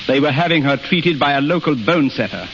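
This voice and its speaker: An actor playing a proud, grieving surgeon and father in a 1930s radio drama, speaking gravely and deliberately. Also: on the high side, lively intonation, a bit bright. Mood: remorseful. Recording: hissy, muffled 1930s radio recording.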